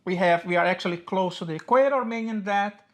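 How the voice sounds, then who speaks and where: A woman with a Brazilian Portuguese accent talks to camera in a chatty, upbeat way, her English carrying small slips of a native Portuguese speaker. She sits at a middle pitch and explains plans casually as a co-host. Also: brisk. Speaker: co-host of a personal finance channel.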